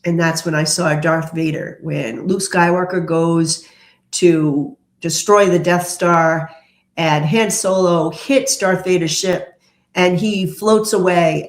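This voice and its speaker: A tarot reader speaks at a medium pitch in a roomy, echoing space. The delivery mixes short, declarative pronouncements with chatty explanation, in the style of a solo prediction show. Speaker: tarot reader, host of the channel. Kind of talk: tarot prediction monologue mixing read-out notes and casual commentary. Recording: roomy, echoing indoor sound.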